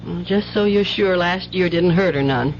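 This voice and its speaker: A woman's voice: lowish voice, moderate pace, a little hiss or hum, lightly acted. An actress playing an anxious, doting rural mother in a 1970s radio drama, with folksy, tender speech. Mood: worried, pleading. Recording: muffled old radio broadcast, music bed.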